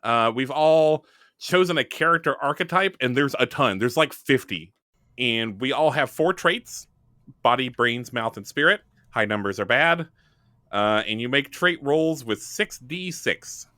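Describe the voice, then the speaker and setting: A man with a bright, forceful voice hosts a casual podcast in a dry, close recording. His pitch moves around a lot as he talks, and he has a wry, joking way about him. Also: animated, a little slow, no room sound. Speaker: podcast host. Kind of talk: casual scripted-game podcast introduction and chat.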